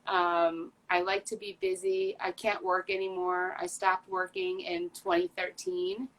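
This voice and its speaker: A woman's voice: on the low side, little pitch movement, measured pace, a bit bright, slight background noise, low-quality recording. A woman in her fifties talking candidly as an interview guest, earnest and chatty, with frequent fillers and restarts. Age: 50s. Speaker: patient advocate guest.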